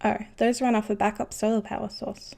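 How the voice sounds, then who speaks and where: A woman's voice: medium pitch, little pitch movement, a little fast, vocal fry, darkish tone. A woman playing a knowledgeable, unflappable ship's engineer who explains things patiently in an educational cartoon. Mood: matter-of-fact. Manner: patient, instructive. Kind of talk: scripted educational explanation in animation.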